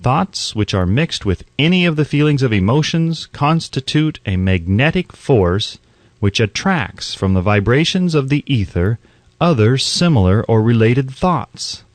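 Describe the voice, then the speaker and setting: Expressive man narrating an audiobook. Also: medium pitch, a little slow, no echo. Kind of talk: scripted audiobook narration of a self-help book.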